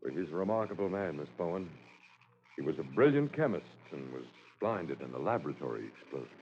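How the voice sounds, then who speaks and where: An actor playing an older, stubborn and suspicious explorer in old-time radio drama, with emphatic, theatrical phrasing. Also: male voice, rumbling low, big pitch swings, a little fast, darkish tone, heavily acted. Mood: matter-of-fact. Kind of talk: 1940s radio drama acting. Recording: muffled, noisy old radio recording.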